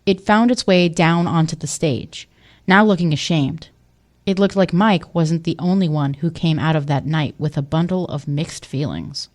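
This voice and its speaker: Female narrator, scripted storytelling, lower-than-average pitch, audio drama. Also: normal speed, a little mellow.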